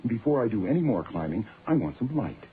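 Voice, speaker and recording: A man's voice: fairly low pitch, very animated, fast talker. A man playing a brash, wisecracking detective's assistant in a radio drama, with a sardonic, slangy, streetwise manner. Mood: defiant. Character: brash, wisecracking male detective's legman and narrator. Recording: muffled, noisy phone-like old radio sound.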